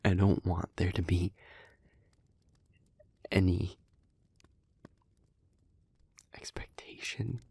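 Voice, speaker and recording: An earnest demon in love , acted by a man, speaks in a low, gravelly half-whisper. He picks his words slowly and haltingly, as if weighed down by guilt, in an intimate dramatic roleplay monologue. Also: steady tone, heavily acted. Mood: tender, sorrowful. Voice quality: breathy, husky, whispery. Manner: slow, halting, hushed delivery with pauses. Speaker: audio roleplay voice actor.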